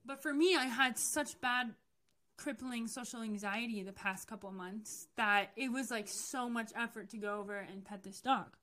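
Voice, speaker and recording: Bright-voiced young woman telling personal stories. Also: on the high side, moderate pace. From United States. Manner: chatty and candid, with frequent fillers and self-corrections. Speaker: podcast host. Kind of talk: casual personal storytelling.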